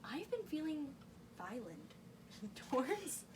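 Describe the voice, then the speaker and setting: A woman's voice: high-pitched, sing-song, slow, crowd noise. A young woman in her twenties from Texas, co-hosting a casual podcast and telling stories with easy laughter. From Texas, USA. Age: 20s. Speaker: podcast co-host. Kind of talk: casual chat between best friends. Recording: noisy room, distant from mic.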